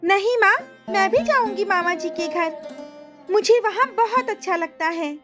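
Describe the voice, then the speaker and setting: A woman's voice: extremely high pitch, expressive, a little slow, over music, pitched above the performer's own voice. A woman voicing a curious, innocent seven-year-old princess with a childlike, pleading manner in a cartoon story. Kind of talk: cartoon moral story acting.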